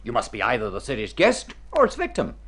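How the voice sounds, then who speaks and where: An actor playing a well-bred amnesiac gentleman bluffing under a false name, by turns hesitant and grandiloquent, in golden age radio drama style. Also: mid-range voice, huge pitch swings, quick pace, gravelly, husky, full character voice. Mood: grand, exhilarated. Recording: noisy vintage radio drama recording.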